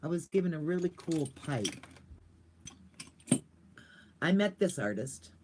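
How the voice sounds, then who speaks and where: Lively older woman, rambling chat. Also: low-pitched, a little slow, noisy background. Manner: rambling, goofy, chatty. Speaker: vlogger. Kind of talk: casual livestream chat.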